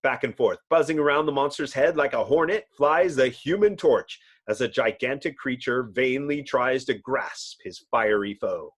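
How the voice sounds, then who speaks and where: A man's voice: medium pitch, normal speed, few pauses. A man narrating a comic book as a dramatic reading, in a theatrical, bombastic manner. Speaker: narrator, host.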